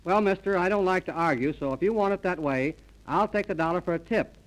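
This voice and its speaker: An actor playing a polite, honest taxicab driver in a 1930s radio comedy sketch. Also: male voice, high voice, a little fast, natural voice, low-quality recording. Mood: polite insistence. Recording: restored early 1930s radio transcription disc.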